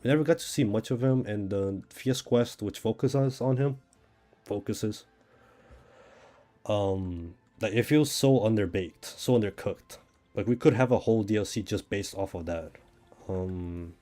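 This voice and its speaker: A man with a Brazilian accent talks casually while streaming a game. His voice sits fairly low and has a soft, dark tone. He rambles and thinks aloud, with faint music playing behind him. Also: unhurried. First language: Portuguese. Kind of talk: casual chat while gaming.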